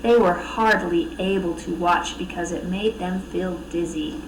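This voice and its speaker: A woman reading a children's storybook aloud with expressive narration. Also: fairly low pitch, unhurried, far from the mic. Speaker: storybook reader. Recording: echoey room, off mic, faint noise.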